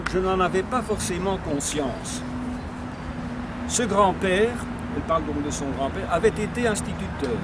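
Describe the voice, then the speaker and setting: Older Belgian man reading, high voice. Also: very noisy. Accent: Belgian French. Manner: unhurried, slightly halting reading with small restarts. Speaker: reader of his wife's memoir. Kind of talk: reading a text aloud with spoken asides.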